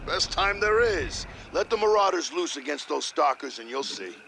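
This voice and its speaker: An actor playing a brash, crusty engineer with a gravelly voice in video game dialogue. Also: male voice, fairly high pitch, sing-song, normal speed, background noise. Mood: eager.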